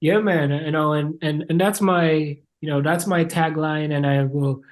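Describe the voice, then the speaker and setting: A man's voice: on the high side, steady tone, normal speed. A man with a Jamaican accent and a somewhat raised voice, speaking as a podcast guest. He tells his story earnestly and conversationally, with many small fillers. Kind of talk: casual interview storytelling.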